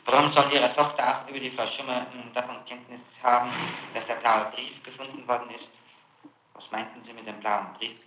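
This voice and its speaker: A man's voice: mid-range voice, fairly even intonation, a little fast, pushed and bright, dull audio. A man questioning a suspect formally as a prosecutor on an old tape, probing persistently and pressing for exact answers. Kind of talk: formal interrogation. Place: indoor room, archival interrogation tape. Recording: echoey room on 1960s tape.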